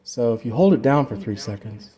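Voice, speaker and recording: A man's voice: mid-range voice, expressive, a little fast, mellow. A man presenting a casual hands-on demo of a vocal effects unit, informal and rambling, trailing off mid-explanation.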